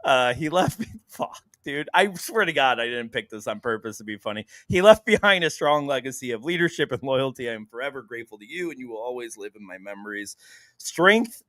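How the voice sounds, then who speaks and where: A man's voice: mid-range voice, animated, brisk, a bit bright, no room sound. A man hosting a casual sports podcast who jokes, laughs a lot and swears now and then. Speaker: podcast host.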